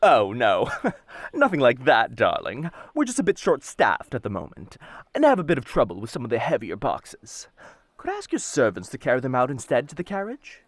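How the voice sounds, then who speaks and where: A man's voice: medium pitch, huge pitch swings, moderate pace, no echo, voice pushed up. A man puts on an ingratiating shopkeeper for an audio roleplay. He is courteous and deferential, with a melody that leaps up and down. The sound is close and dry. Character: polite, ingratiating male merchant, an assassin's disguise. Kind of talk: ASMR roleplay.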